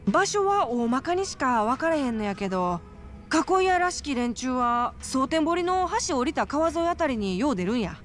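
A woman's voice: sits high, moderate pace. An actress playing a feisty, righteous, streetwise young woman in a video game, brash and with a Kansai accent. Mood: teasing. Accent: Kansai Japanese. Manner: brash, animated explaining. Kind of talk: video game cutscene acting. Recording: loud music underneath.

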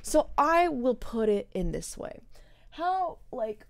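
A woman's voice: on the high side, very animated, slow, vocal fry, dry recording. A young adult woman giving casual, opinionated commentary as a gaming streamer, speaking earnestly and emphatically with some swearing. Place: indoor streaming setup.

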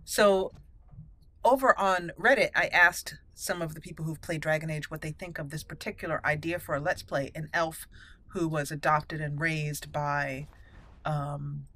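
A woman's voice: deep voice, steady tone, measured pace, a bit bright. A woman hosting a casual let's play, chatty and reactive, thinking aloud as she plays. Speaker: gaming channel host.

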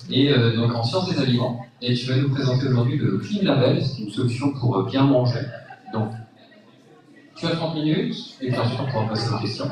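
A man's voice: medium pitch, a little fast, a little mellow, distant mic. A man hosting a science talk, introducing the speaker in an informal, joking and stumbling way. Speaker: event host. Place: large lecture hall. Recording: echoey lecture hall, from afar.

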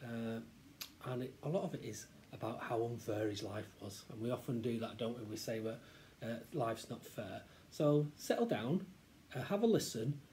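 A man's voice: mid-range voice, expressive, moderate pace, dark tone, slight background noise. A middle-aged man with a British accent reading a children's story aloud expressively, recorded at a desk in a small office.

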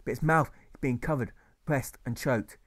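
A man reading his own rhyming novel aloud, in a measured, rhythmic narration. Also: medium pitch, a bit bright.

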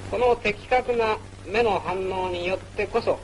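A man's voice: high voice, unhurried, slight background noise, low-quality recording. A man narrating a wartime military training film in a formal, instructional manner, on an old film soundtrack. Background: music.

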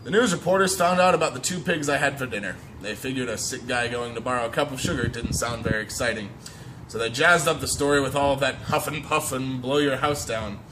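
A man's voice: mid-range voice, fairly quick, natural voice. A man reading as a chatty, aggrieved storybook wolf who pleads innocence, in a folksy, emphatic way. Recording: noisy amateur phone video recording.

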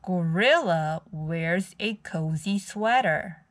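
A woman's voice: fairly low pitch, animated, slow pace. A woman reading a picture book aloud for young children as a storytime host.

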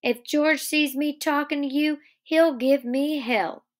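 A woman reads out a simple-minded male farmhand from a novel. He sounds childlike, fearful and sorrowful. His rural speech is halting and sits fairly high. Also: lively intonation, a bit bright. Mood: cautious. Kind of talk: dramatic reading of a novel.

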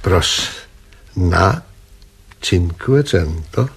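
A man's voice: deep voice, animated, very slow, slight background noise, heavily acted. An actor in a radio comedy playing a generous businessman and devoted mother's boy, effusive, with stumbling starts to his sentences. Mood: eager, pleading. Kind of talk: radio comedy drama acting.

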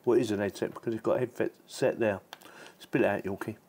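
A man's voice: lowish voice, steady tone, quick pace, darkish tone. A man giving a casual, chatty hands-on product demonstration, informal and given to repeating himself. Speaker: product reviewer.

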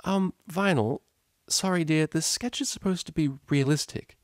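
A man voicing a kindly, easygoing older female pony who teaches art, with a gentle, friendly manner. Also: fairly high pitch, normal speed, no room sound, studio quality, voice pushed up, natural voice. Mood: gently tactful. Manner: gentle, friendly, affectionate address.

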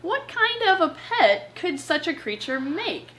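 A young woman hosting in a casual, chatty way, with an expressive, playful manner. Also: extremely high pitch, unhurried. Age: young adult. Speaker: host of a reptile channel. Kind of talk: casual chatty presenting with read-aloud passages. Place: living room at home, on a couch. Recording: clear background room noise.

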